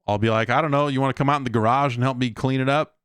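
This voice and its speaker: A man's voice: medium pitch, fairly even intonation, racing, fairly bright tone, smooth, no room sound, clean studio sound. An American man in his late forties hosting a podcast solo, chatting casually in a rambling, wry, self-deprecating and sometimes profane way.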